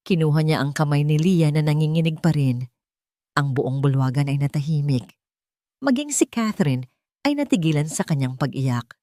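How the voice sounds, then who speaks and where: Low, mellow woman narrating a dramatic story. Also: normal speed, no room sound. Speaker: narrator of romance stories. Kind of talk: dramatic scripted audiobook narration.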